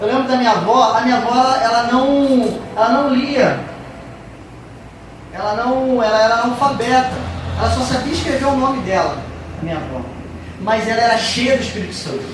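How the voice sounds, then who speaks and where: A woman's voice: a little high, a little fast. A woman preaching a sermon in a church, emphatic and repetitive. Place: church hall. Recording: echoey church hall from far off, noisy.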